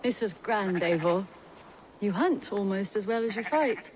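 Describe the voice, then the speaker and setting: A poised huntress and trader from a historical video game acted by a woman. Her voice is mid-pitched, courteous and articulate. Light background noise and ambience surround it. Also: lively intonation, measured pace, a little mellow, natural voice, low-quality recording. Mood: warm. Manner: composed, polite, articulate. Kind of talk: video game cutscene acting.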